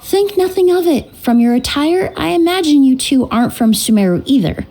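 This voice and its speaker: A woman voicing a calm, courteous young perfumer in a video game, speaking in a poised, explanatory way. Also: high-pitched, normal speed, a little hiss or hum. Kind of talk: game voice acting. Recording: music behind voice.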